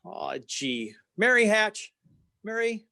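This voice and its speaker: A man's voice: sits high, sing-song, takes it slowly, vocal fry, bright, forceful tone, no echo, studio quality, exaggerated voice. A man playing an earnest, flustered young small-town family man in an old-time radio play, stammering and using folksy exclamations. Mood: downcast.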